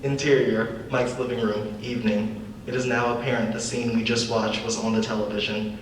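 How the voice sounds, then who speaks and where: A man's voice: mid-range voice, flat delivery, normal speed. A young man reading scripted stage directions as narration at a live table read. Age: young adult. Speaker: reader of script action lines. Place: large echoey room, live event. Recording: echoey room, distant mic, faint noise.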